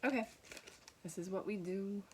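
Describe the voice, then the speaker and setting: A woman's voice: medium pitch, moderate pace, a little hiss or hum. A young woman with a blended Vermont and New York accent, giving a casual, reassuring tarot reading and reading card messages aloud to her viewers. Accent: mixed Vermont and New York. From Vermont, USA. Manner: warm, reassuring, gently teasing, reads card texts aloud. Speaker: tarot reader.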